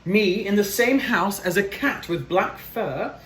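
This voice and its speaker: A man's voice: sits high, lively intonation, a little slow, a bit bright, reverberant, pitched above the performer's own voice. A man voicing a pompous, prejudiced male pig from a storybook, affronted and full of himself.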